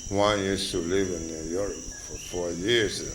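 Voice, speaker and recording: Slow elderly man. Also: deep voice, steady tone, music in the background. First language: Spanish. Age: 80s. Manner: rambling, halting with fillers and chuckles. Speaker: interviewee. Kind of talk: slow storytelling reminiscence. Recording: noisy with crickets.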